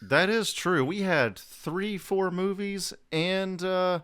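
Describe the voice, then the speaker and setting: Smooth, clear-voiced man chatting casually. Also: sits high, slow pace, a bit bright. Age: 30s. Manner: informal, sweary. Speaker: podcast host. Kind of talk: casual chat.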